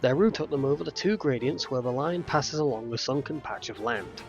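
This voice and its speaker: A man tells a scripted children's tale with lively, swinging intonation. His measured storyteller phrasing leans into dramatic emphasis, and music plays clearly behind him. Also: mid-range voice, muffled recording. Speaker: narrator.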